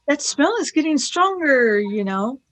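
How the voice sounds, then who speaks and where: A woman's voice: high-pitched, very animated, a little slow. A woman playing an eager, nervous young female companion in an amateur radio play. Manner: wondering, anxious questions.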